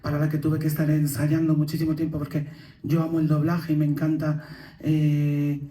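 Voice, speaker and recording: Panelist, woman, Madrid Spanish accent; measured, hesitant delivery, low-key dark timbre, roomy stage sound. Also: completely flat delivery. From Madrid, Spain. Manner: slow, thoughtful, hesitant. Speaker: actor on a panel. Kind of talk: panel Q&A answer. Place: theater stage at a premiere. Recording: echoey theater room.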